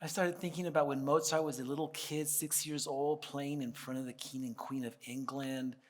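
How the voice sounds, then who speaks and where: A man who is a pianist and composer, talking casually to a concert audience and reciting a poem in a playful manner, with many chuckles and dramatic pauses. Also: medium pitch, level tone. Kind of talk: informal stage talk and poem recitation. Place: concert hall stage. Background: faint audience laughter.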